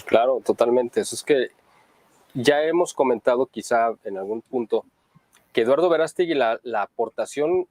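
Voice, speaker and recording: A man co-hosting political news commentary, talking in a steady, opinionated way. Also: medium pitch, moderate pace, no room sound.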